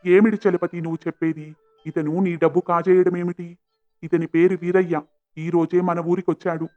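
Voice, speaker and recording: A man's voice: high-pitched, little pitch movement, normal speed, muffled recording, faint music behind, lightly acted. A man voicing a stern, authoritative village headman in a cartoon moral story, firm and commanding. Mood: puzzled, firm.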